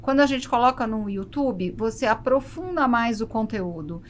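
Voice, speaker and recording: A woman's voice: fairly high pitch, animated, moderate pace. A Brazilian woman speaking conversationally as an interview guest, explaining her work. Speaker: podcast guest, travel presenter. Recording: faint background noise and ambience.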